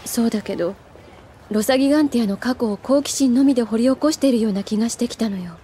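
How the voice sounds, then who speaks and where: A composed older schoolgirl, acted by a woman, speaks with polite restraint in a soft, mellow tone. She is cool yet caring, in audio drama style. Some ambience sits behind her. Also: on the high side, fast talker, close to an everyday voice. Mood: hesitant, pensive. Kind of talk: Japanese audio drama acting. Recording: noticeable ambient noise behind.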